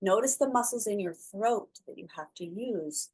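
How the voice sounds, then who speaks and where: Soft-spoken woman guiding a breathing meditation. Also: mid-range voice, lively intonation, husky. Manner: slow, soft, soothing guidance with long pauses. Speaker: breathwork instructor.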